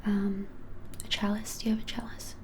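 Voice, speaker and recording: A woman in her twenties whispering a casual ASMR shopkeeper roleplay in a breathy, halting, helpful way. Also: mid-range voice, little pitch movement, noisy background. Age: 20s. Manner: soft, hesitant, halting, helpful explaining. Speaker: ASMR creator playing a witch shop keeper. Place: small plain indoor room.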